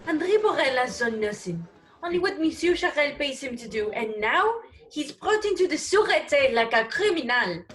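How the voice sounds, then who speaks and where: An indignant smuggler's underling, a sailor from Corsica, in an old radio play, acted by a woman. The voice is high and the protests come out emphatic and aggrieved. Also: lively intonation, moderate pace, a bit bright. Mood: indignant, pleading. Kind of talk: 1940s radio play acting.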